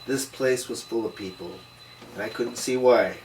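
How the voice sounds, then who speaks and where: A man's voice: medium pitch, moderate pace, a little mellow, close to an everyday voice. A man playing a cynical, hard-drinking noir detective with tough, slangy storytelling in a drama table read. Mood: wry, world-weary. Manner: hardboiled slangy first-person storytelling. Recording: distant microphone, noisy room.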